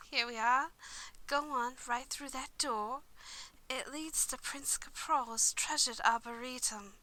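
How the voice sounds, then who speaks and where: A woman playing an excitable, devoted vampire lady's maid in a roleplay audio drama, speaking formally and chattily to the listener. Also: on the high side, low-quality recording. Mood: excited, proud. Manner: polite, formal, eager to please, chatty.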